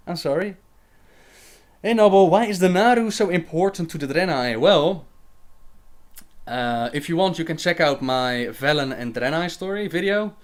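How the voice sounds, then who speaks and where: A man's voice: a little high, unhurried, pauses often. Chatty young man answering viewer questions. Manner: chatty, reads questions aloud then answers with fillers and laughs. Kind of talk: casual livestream Q&A.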